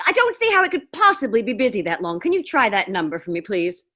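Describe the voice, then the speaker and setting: A woman's voice: medium pitch, sing-song, fast, bright, forceful tone. A woman playing an anxious, demanding, sickly housewife in a melodramatic 1940s-style radio thriller. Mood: fretful. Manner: fretful, insistent, melodramatic.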